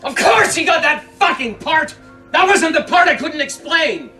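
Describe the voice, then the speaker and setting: A man's voice: mid-range voice, very animated, moderate pace, faint music behind, natural voice. An actor playing an angry, foul-mouthed, defensive man who argues in exasperation, in a naturalistic drama. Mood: furious, loud. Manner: swearing, combative, exasperated. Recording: distant microphone.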